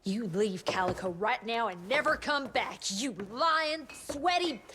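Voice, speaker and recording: A woman's voice: a little high, animated, measured pace, sharp tone, a little hiss or hum. An actress playing a defiant female scientist who hurls cutting insults in a video game cutscene. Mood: scornful. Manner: cutting, mocking.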